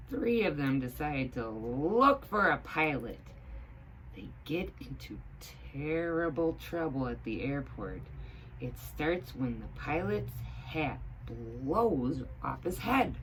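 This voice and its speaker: Lady reading a kids book out loud, slow and really lively up and down, emphatic, bit of noise behind. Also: low-pitched, low-quality recording. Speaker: teaching assistant reading to children. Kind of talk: expressive storybook read-aloud.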